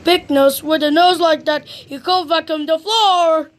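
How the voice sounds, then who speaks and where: A loud, scornful storybook bully acted out by a woman, high in pitch and blurting everything like an insult. The delivery is slow and rude, made for a children's read-aloud. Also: fairly even intonation.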